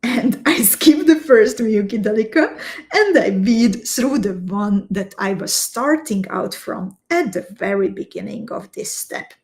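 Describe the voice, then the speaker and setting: A woman talks viewers through each beading step, slowly and carefully. Her voice sits in a middle register, and she takes her time over every instruction. Between steps she chats easily with her live audience. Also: medium pitch, lively intonation, creaky, darkish tone. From Slovakia. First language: Hungarian. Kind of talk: live-stream craft tutorial.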